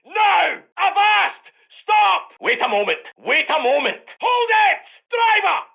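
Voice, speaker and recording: A brash Scottish heavy tank commander from a war game. His voice is pitched very high for a man, hard-edged and piercing, with a thick gravel in it. He barks salty, commanding orders to his crew with swagger. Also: sing-song, slow pace, pushed and bright, raised voice, highs cut off, full character voice. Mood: urgent, barking. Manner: commanding, salty, colourful slang. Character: gruff, cocky British heavy tank commander barking orders. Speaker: video game voice actor. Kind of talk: shouted military unit voice lines.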